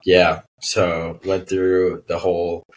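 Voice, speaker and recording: A man's voice: low-pitched, monotone, slow pace, slight background noise, low-quality recording. A man in his twenties from Staten Island, chatting casually as a guest, laid-back and rambling with lots of fillers. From Staten Island, New York. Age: 20s. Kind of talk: casual chat.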